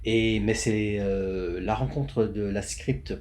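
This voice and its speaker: A man from Montreal, a podcast guest explaining his film work in a thoughtful, halting way with many restarts. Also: lowish voice, steady tone, a little mellow, a little hiss or hum. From Canada, Montreal. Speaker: podcast guest, script supervisor. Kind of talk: interview conversation.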